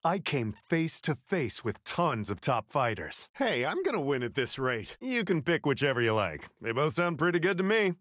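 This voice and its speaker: A man's voice: on the high side, very animated, normal speed, hardly any pauses, a bit bright, dry recording, clean studio sound. An actor playing a cheerful, cocky young male martial-arts fighter and vlogger in a video game, breezy, confident and showy, with slangy speech.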